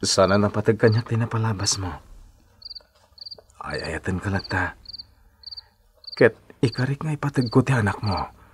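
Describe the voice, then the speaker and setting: An actor with an Ilocano accent playing a devoted, persistent young suitor, earnest and imploring, in a radio drama. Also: male voice, fairly low pitch, lively intonation, normal speed, long pauses, close to an everyday voice. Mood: earnest pleading. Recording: noisy AM radio drama recording.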